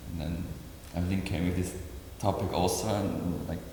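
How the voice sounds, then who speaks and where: A man in his forties, originally from Indonesia, speaking in an interview as an artist, halting with many fillers and restarts. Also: low voice, fairly even intonation, vocal fry, dark tone. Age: 40s. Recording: echoey hall with faint noise.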